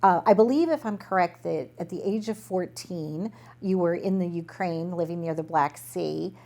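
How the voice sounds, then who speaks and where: A woman's voice: lowish voice, normal speed, darkish tone. A woman talk show host interviewing conversationally, effusive and full of compliments.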